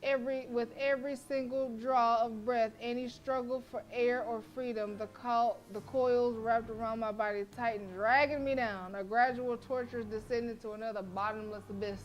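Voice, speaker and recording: A woman's voice: on the high side, fairly even intonation, normal speed, hardly any pauses, rough recording. A woman streamer who reads a horror visual novel aloud in a dramatic way and breaks off for profane, joking asides.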